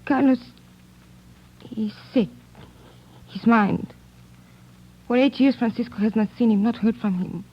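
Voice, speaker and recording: A woman's voice: on the high side, unhurried, pauses often, slightly rough. An actress playing a guarded, anxious married woman in an old radio drama, hesitant and formal in her phrasing. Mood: reluctant. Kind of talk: 1940s-50s radio drama acting. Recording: dull old radio recording.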